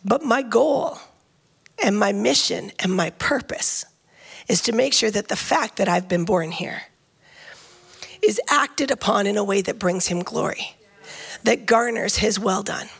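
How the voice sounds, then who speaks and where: A woman's voice: mid-range voice, expressive, a little slow, vocal fry, long pauses, slightly rough. A woman preaching a sermon, earnest and emphatic, often repeating phrases as she builds a point.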